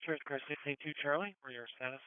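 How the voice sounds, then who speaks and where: Higher-voiced male dispatcher on tinny radio. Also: fast talker, clean tone, no echo. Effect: two-way radio. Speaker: emergency dispatcher. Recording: narrow, tinny scanner radio.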